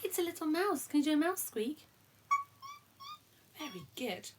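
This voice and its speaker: A woman reading a children's picture book aloud in an expressive way, with encouraging asides that prompt a child to join in. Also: high voice, normal speed, heavy background noise. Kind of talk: animated children's story reading with interactive asides.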